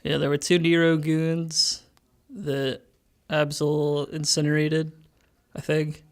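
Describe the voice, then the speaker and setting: Higher-voiced man, halting and jokey. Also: little pitch movement. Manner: halting, jokey, chuckles while talking. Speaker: player in a tabletop role-playing podcast. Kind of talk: casual tabletop game recap, improvised.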